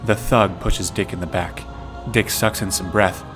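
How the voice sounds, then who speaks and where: A man's voice: low voice, fairly even intonation, measured pace, music in the background. A man reading scripted narration as the narrator of an audio drama.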